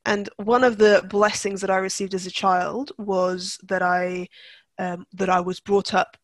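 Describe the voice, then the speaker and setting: A woman's voice: mid-range voice, level tone, a little slow, dry recording. Reflective young woman, dry close sound. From Turkey. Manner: reflective, with frequent restarts and fillers. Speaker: guest, NLP practitioner. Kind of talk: conversational podcast interview.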